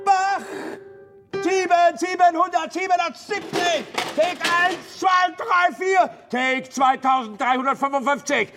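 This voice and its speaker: Very high, manic chanting take announcer. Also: male voice, heavily acted. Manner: frantic, chanting, insistent, shouting at times. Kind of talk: radio drama, chanted repetition.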